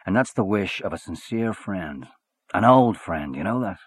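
Brief: hearty, swaggering worldly braggart, read by a man, husky, lively pitch. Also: moderate pace, no echo, studio quality. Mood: boastful. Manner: expansive, swaggering, back-slapping.

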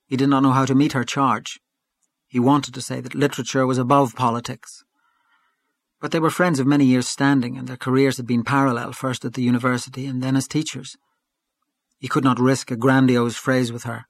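A man reading literary fiction aloud as an audiobook narrator, in a measured, even storytelling manner. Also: medium pitch, creaky, no echo.

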